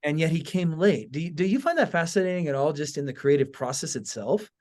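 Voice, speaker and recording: A man's voice: fairly high pitch, lively intonation, quick pace, darkish tone. A man hosting a podcast in a casual, conversational way, informal and engaged, often checking in with his listener. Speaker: podcast host.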